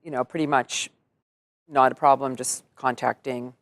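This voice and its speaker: A middle-aged woman talks in an easy, upbeat way and laughs often. Her speaking voice sits low. The recording is dry and close, with no room sound. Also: fairly even intonation, moderate pace, no echo. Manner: chatty, upbeat, laughs easily. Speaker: entertainer and singer. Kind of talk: casual interview chat.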